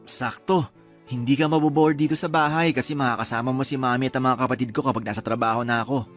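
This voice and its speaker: A man's voice: mid-range voice, fairly even intonation, fast, fairly bright tone, soft background music, natural voice. A man acting an affectionate young fiancé and doting son in a Filipino radio drama, eager and sweet-talking. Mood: agreeable, coaxing.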